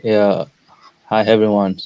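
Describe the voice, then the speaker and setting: A man with a low, mellow voice calls in and speaks slowly and haltingly while he searches for his words. The line sounds dry and a little dull. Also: flat delivery, smooth, no echo. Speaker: caller asking a question.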